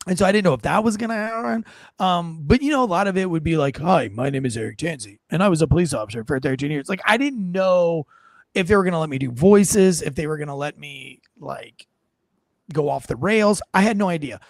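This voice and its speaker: A man's voice: fairly high pitch, animated, brisk, no room sound, studio quality. A man, a former police officer, chatting casually and rambling with fillers and restarts. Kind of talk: casual chat about his audiobook.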